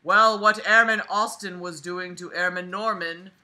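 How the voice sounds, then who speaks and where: Young woman, really bright edgy voice, reads stuff out loud n roasts it, sarcastic and fed up, swears a lot. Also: fairly low pitch, steady tone, unhurried. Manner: sarcastic, exasperated, blunt. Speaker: vlogger reading a book aloud with commentary. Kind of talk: mocking read-aloud with casual profane commentary. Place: small bedroom, lying on a bed indoors.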